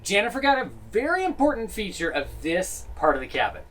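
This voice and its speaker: Lively wry man touring a train cabin. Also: high-pitched, fairly quick, faint music behind. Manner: dry joking asides. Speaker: travel vlogger. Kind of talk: casual tour narration. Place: small sleeper train cabin.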